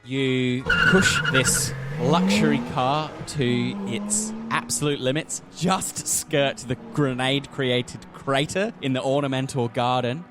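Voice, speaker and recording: A man's voice: medium pitch, slow pace, few pauses. A man narrating a tabletop roleplaying game as the game master. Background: music.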